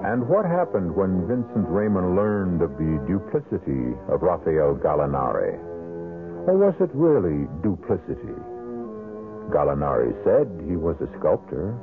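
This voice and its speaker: A man's voice: deep voice, wildly swooping pitch, measured pace. A man narrating a 1970s radio mystery as host, in an ominous, theatrical, rhetorical manner. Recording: tinny old radio recording with music.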